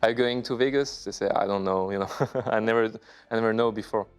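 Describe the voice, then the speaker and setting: A man in his thirties from China, answering interview questions in halting, relaxed English with many fillers. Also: lowish voice, clean tone, soft background music. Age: 30s. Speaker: poker player guest.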